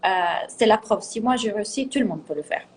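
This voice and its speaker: A woman's voice: medium pitch, lively intonation, fast talker, slight background noise. A woman guest coach speaking French as a second language, earnest and emphatic, repeating words for stress. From Italian family. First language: not French. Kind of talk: casual talk, motivational.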